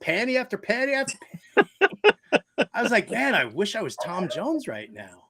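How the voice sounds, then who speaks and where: Middle-aged male sports host, high-pitched and forceful, chatty radio style with emphatic repetition. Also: huge pitch swings, moderate pace. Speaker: sports talk show host. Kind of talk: casual radio chat.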